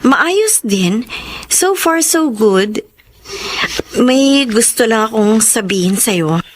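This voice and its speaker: A woman's voice: a little high, lively intonation, slow, slight background noise. A woman with a Filipino accent playing a polite, humble young mother in a radio drama. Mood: apologetic, sincere. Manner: polite, deferential. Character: humble, grateful, apologetic young single mother. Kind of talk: Tagalog radio drama acting.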